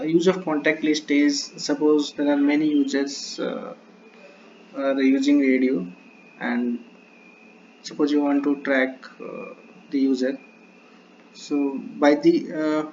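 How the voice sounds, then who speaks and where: A man presenting a step-by-step tutorial in halting, short broken phrases on a lo-fi recording. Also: mid-range voice, a little mellow. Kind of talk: screen-share tutorial, explaining step by step. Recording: dull lo-fi mic with faint noise.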